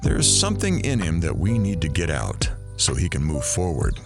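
A man's voice: low voice, wildly swooping pitch, normal speed, gravelly, music in the background, exaggerated voice. An actor playing a reflective male narrator-host who muses aloud and addresses listeners in an audio drama. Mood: musing. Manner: musing, addresses listeners directly.

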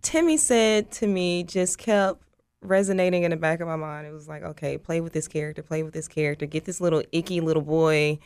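An American woman with a medium-pitched voice chats as an actress in an interview. She is expressive and emphatic, recorded very dry. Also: a little fast, smooth, dry recording. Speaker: guest, stage actress. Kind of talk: casual interview chat.

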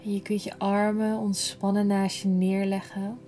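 A woman guiding a yoga nidra relaxation in a gentle, soothing, unhurried manner, breathy at times. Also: medium pitch, flat delivery, soft background music. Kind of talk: slow guided relaxation meditation.

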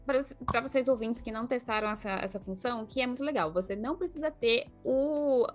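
A woman's voice: fairly high pitch, fairly quick, faint music behind. A Brazilian woman co-hosting a podcast in casual, emphatic conversation. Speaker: podcast co-host.

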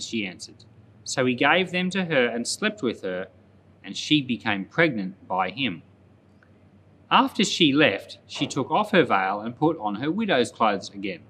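A man speaking as a pastor, reading and explaining scripture aloud in a measured, plain-spoken way. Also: medium pitch, fairly bright tone, slightly rough. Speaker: pastor, Bible podcast host.

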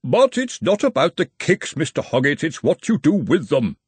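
A man voicing a wheezing, unflappable elderly former dean calmly explaining the rules, in an audiobook. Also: on the high side, normal speed, slightly rough, dry recording. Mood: patient.

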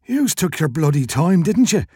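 A man voicing a burly, irritable Irish shopkeeper in a short story, wheezy and gruff in his complaining. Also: on the high side, very animated, moderate pace, warm tone, no room sound, studio quality, voice pushed up. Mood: grumbling.

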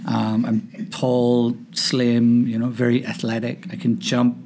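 A man's voice: medium pitch, monotone, takes it slowly, a little mellow, slight background noise. A man in his fifties, born in Northern Ireland and raised in Hong Kong, telling jokey, self-deprecating stories as a guest on a casual podcast. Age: 50s.

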